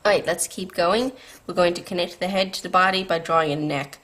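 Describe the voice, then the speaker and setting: A woman's voice: lowish voice, fairly even intonation, a little fast, a bit bright. A woman hosting a drawing tutorial, explaining patiently step by step, her voice tired and scratchy from a late night. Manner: patient, beginner-friendly explaining.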